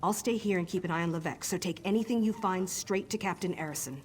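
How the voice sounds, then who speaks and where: An actress playing a gruff orc woman agent in a fantasy game, speaking bluntly and curtly with wry edges. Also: fairly low pitch, fast talker, a little hiss or hum, natural voice. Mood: gruff, conspiratorial. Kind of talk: video game voice acting. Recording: loud music under the voice.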